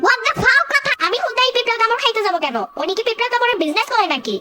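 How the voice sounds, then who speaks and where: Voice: very high-pitched, sing-song, rapid-fire. A performer voicing a cheeky, stubborn little boy in a cartoon, talking in a childish, protesting way with English catchphrases. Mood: stubborn refusal. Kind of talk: cartoon dialogue. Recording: loud music under the voice.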